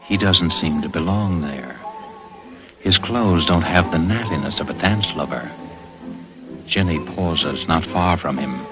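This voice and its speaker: An actor serving as the grave narrator of a 1940s-style radio suspense thriller. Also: male voice, low-pitched, a little slow. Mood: suspenseful. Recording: muffled vintage radio recording, music behind.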